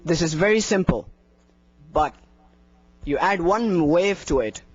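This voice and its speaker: High-voiced man teaching adhan technique. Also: expressive, a little slow, slightly rough. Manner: earnest, encouraging, demonstrates vowel sounds aloud. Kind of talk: instructional lesson with slides. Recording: dull lo-fi recording.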